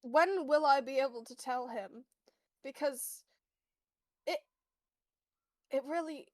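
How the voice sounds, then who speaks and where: A woman playing an earnest, grieving young man in a tabletop game, halting and repeating words as tears are held back. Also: sits high, dry recording. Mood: fragile, grieving.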